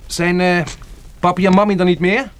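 A man acting in a Dutch radio drama as a kind, protective survivor, with a gentle and reassuring manner. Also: sits high, little pitch movement, moderate pace, slight background noise, close to an everyday voice. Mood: careful, concerned. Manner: gentle, reassuring toward a child. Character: kind, protective male survivor, lonely narrator of a catastrophe.

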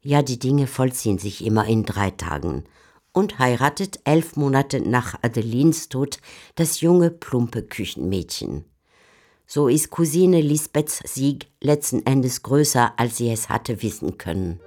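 A woman's voice: rumbling low, sing-song, measured pace, a little mellow. A woman narrating and commenting on a literary story in a scripted radio drama.

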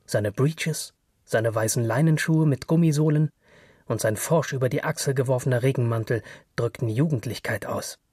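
A man with a husky voice and a middling pitch narrates literary fiction from a script. The recording is dry, with no sense of a room. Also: normal speed, darkish tone, dry recording.

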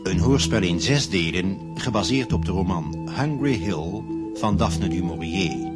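A man's voice: deep voice, animated, measured pace. A man reading a scripted radio drama announcement with music behind him. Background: loud music.

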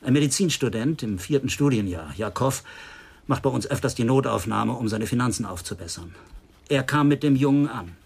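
Earnest, concerned hospital doctor, radio crime drama: mid-pitched, slightly husky, explaining at length. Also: male voice, animated, brisk, a little mellow. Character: concerned, talkative male hospital doctor reporting a case.

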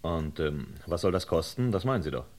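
An actor playing a wary, determined man who speaks in a measured, insistent, questioning way in a German radio crime drama. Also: rumbling low, sing-song, background noise, exaggerated voice. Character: wary, determined man bargaining and probing an old murder.

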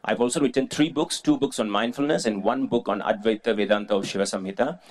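Man, 40s, from Singapore, fairly low voice, conference speaker, earnest, explanatory, humble. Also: fast. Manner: earnest, self-effacing, explanatory with fillers. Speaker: mindfulness teacher and speaker. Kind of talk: conference presentation. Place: conference room with audience.

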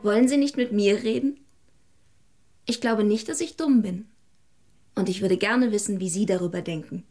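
An actress playing a shy, frank twenty-year-old would-be writer, naive and earnest, in a radio drama. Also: female voice, medium pitch, moderate pace, mellow, soft background music, natural voice.

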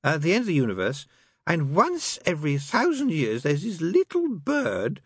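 A man reading aloud and voicing a sly male demon who is tipsy and keeps pressing his point in a slow, wheedling way. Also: a little high, huge pitch swings, breathy edge, dry recording, clean studio sound, voice pushed up, exaggerated voice. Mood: relentless. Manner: wheedling, insistent, drawn-out.

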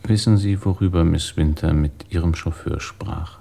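An actor playing a sober, terse police investigator who puts probing questions in a radio drama, with a gravelly voice. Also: male voice, low voice, normal speed, mellow. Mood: matter-of-fact. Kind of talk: German radio drama acting.

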